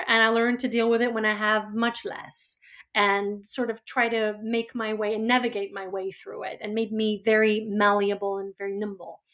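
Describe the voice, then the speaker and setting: A woman in her thirties, born in Georgia with Georgian as her first language, speaks in a voice pitched a little above the usual. She tells her story calmly and reflectively, taking her time over each thought. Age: 30s. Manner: reflective, measured. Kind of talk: personal storytelling.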